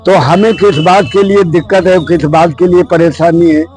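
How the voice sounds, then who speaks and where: A man's voice: sits high, fairly even intonation, normal speed, a little mellow, soft background music. An older man giving an impassioned, preachy, unscripted interview answer full of rhetorical questions. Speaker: member of the public interviewed.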